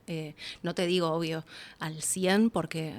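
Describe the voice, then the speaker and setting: A woman's voice: sits low, steady tone, a little slow. A young adult woman with an Argentine accent, speaking informally and reflectively as a guest in a casual interview. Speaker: interview guest, voice actress and announcer.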